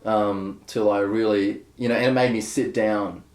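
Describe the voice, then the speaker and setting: A young adult man, a bass player talking candidly in a casual interview, rambling with many fillers and restarts. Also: steady tone, moderate pace, darkish tone. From Australia. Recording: echoey room.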